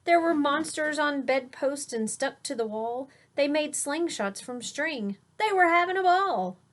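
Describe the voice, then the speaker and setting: A woman reading a children's picture book aloud expressively, stressing key words and pausing to explain things simply for young listeners. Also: high voice, lively intonation, a bit bright. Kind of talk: storybook read-aloud with simple explanatory asides.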